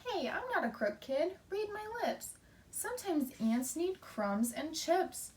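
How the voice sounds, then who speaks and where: A tiny storybook ant, played by a woman, speaks in a high voice. It pleads earnestly and bravely, leaning on its words to be heard. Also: sing-song, slow pace, a little mellow, a little hiss or hum, heavily acted. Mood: indignant pleading. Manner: pleading, emphatic storybook delivery.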